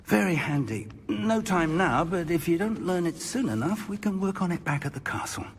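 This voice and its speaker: An actor playing a courteous, cautious older professor in a video game, speaking politely and earnestly. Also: male voice, high-pitched, expressive, normal speed, slight background noise. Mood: cautious, businesslike. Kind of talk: scripted video game dialogue.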